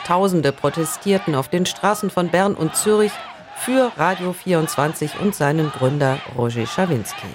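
A man's voice: on the high side, background noise. A man reading scripted documentary narration for a radio feature.

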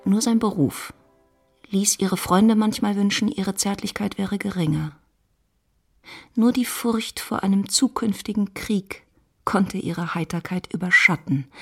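Creaky-edged woman narrating a literary drama. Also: mid-range voice.

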